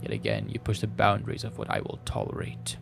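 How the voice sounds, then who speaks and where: Man voicing a gravelly, menacing female cave creature. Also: lowish voice, steady tone, faint music behind. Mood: disdainful. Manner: haughty, slow, formal threatening speech.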